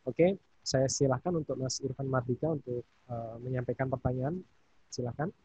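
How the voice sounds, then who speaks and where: A man's voice: medium pitch, steady tone, normal speed, muffled recording, background noise. A man moderating an online seminar, polite and hesitant, with many fillers.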